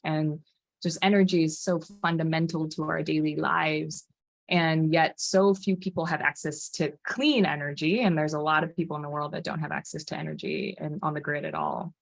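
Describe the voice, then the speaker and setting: A woman's voice: low-pitched, fairly even intonation, normal speed, gravelly, no echo. A woman from Hawaii speaking earnestly and passionately as a panelist, often restarting her sentences. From Hawaii, USA. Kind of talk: panel talk.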